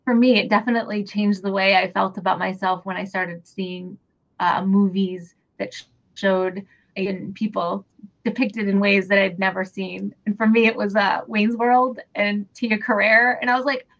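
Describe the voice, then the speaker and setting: Brief: woman guest on a radio show, earnest and halting, muffled lo-fi sound. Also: medium pitch, little pitch movement. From New Hampshire, USA. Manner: earnest, warm, many ums and restarts. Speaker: guest, theater executive director. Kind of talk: radio interview answers. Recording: dull lo-fi radio audio.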